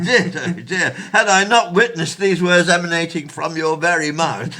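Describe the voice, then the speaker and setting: An actor playing a benevolent, earnest 1820s gentleman in a period audio play, with formal, courteous old-fashioned diction. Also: a little high, heavily acted. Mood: delighted, laughing.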